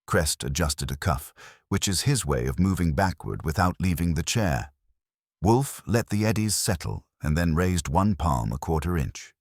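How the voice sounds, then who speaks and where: A man reading a first-person detective story as a scripted audiobook, in a dry, measured storytelling manner. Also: deep voice, lively intonation, no echo. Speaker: narrator and reader of a detective story.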